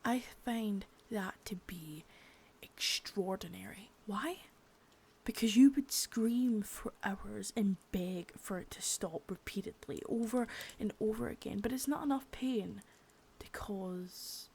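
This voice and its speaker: A woman voicing a sadistic, menacing female boss in an ASMR roleplay, whispering softly with spoken threats between. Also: fairly high pitch, gravelly, slight background noise. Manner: taunting and threatening.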